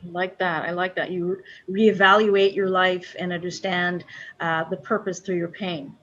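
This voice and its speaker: A woman moderating a webinar over a video call, affirming the speakers and asking brisk questions. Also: fairly low pitch, level tone, fairly bright tone, slight background noise. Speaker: moderator, show host. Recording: dull lo-fi video call audio.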